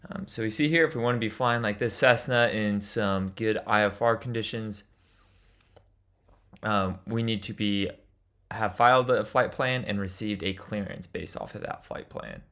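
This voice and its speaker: A man's voice: lowish voice, normal speed, a bit bright. A man giving an instructional lecture as a flight instructor, explaining things in an informal way.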